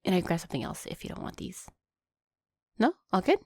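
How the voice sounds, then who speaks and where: A woman playing an affectionate, anxious vampire girlfriend in an intimate roleplay audio drama, talking in a soft, chatty way. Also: fairly low pitch, very animated, a little fast, slightly rough, no room sound, clean studio sound, full character voice. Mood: playful, cozy. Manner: soft, chatty, sighing and giggling, breaking into whispers.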